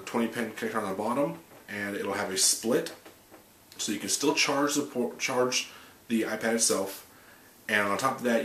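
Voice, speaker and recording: A man's voice: fairly low pitch, a bit bright. A man in his thirties with a stuffy cold, hosting a chatty, upbeat tech news recap to a webcam in a small home office, reading from notes with restarts. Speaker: tech video host. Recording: echoey room, faint room hum.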